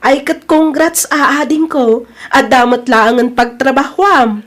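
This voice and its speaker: A woman's voice: high-pitched, slow pace. A woman with an Ilocano accent playing a supportive older-sister figure who gives advice in a radio drama. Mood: encouraging. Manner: encouraging, advising.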